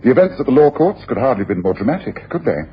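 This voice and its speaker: A man's voice: mid-range voice, big pitch swings, rapid-fire, lightly acted. An actor playing a coolly sceptical Victorian detective in a radio play, precise and probing in his formal speech. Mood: cool, thoughtful. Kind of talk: radio drama dialogue. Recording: dull, slightly noisy radio recording.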